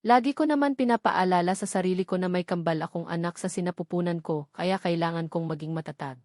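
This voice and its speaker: A woman's voice: fairly low pitch, fast talker, darkish tone, dry recording, clean studio sound. A woman with a Filipino accent reading a scripted first-person romance novel as an audiobook narrator.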